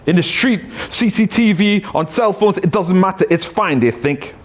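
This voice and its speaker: A man's voice: sits high, animated, normal speed. A poet from Trinidad with a Trinidadian accent, chatting loosely with many tag phrases and reading his own poetry.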